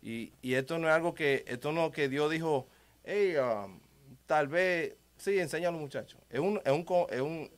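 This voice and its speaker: A man's voice: a little high, normal speed. A man from the Dominican Republic with a Dominican Spanish accent, speaking conversationally and emphatically about religion, repeating key words for stress.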